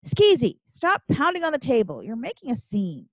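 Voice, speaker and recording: A woman's voice: fairly high pitch, wildly swooping pitch, normal speed, no echo, exaggerated voice. A woman voicing an earnest, opinionated seventh-grade girl in an audiobook, speaking emphatically and righteously. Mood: exasperated. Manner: righteous, emphatic, formal wording. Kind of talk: audiobook character dialogue.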